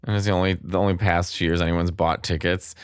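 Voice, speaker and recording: A man who does stand-up, guesting on a comedy chat and bantering with quick, playful teasing. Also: low-pitched, little pitch movement, clear, resonant tone, no echo, studio quality.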